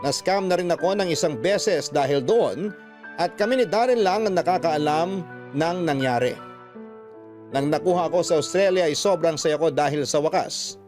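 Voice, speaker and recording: A man's voice: fairly high pitch, close to an everyday voice. A man narrating a personal letter as an earnest storyteller in a scripted radio drama. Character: earnest letter writer recounting their own life story. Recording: music playing behind narration.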